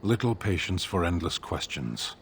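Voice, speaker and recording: A deep, low voice gives life to a stern warrior in a fantasy video game. He is formal and clipped, with little patience and a deadpan delivery. A faint noise sits behind him. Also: normal speed. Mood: stoic, curt. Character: stoic, impatient male Qunari warrior, terse and stern. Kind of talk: video game character dialogue.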